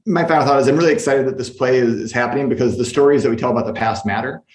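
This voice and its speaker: A man's voice: medium pitch, racing. A man giving earnest, articulate remarks as a panelist in an academic discussion. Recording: echoey room sound.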